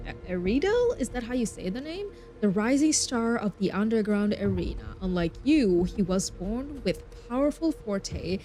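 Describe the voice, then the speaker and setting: Expressive woman streamer reading over music. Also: mid-range voice. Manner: stumbles over words while reading, expressive asides. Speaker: variety game streamer. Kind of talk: game livestream, reading story text aloud with reactions.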